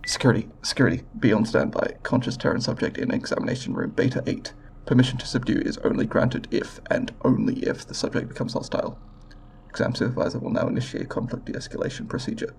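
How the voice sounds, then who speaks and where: A man playing a nervous, formal alien researcher in an ASMR audio roleplay, with a creaky voice and precise, clinical, hesitant wording. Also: medium pitch, flat delivery, quick pace, a little mellow, slight background noise, lightly acted. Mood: alarmed. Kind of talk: ASMR roleplay, recorded report then reassurance.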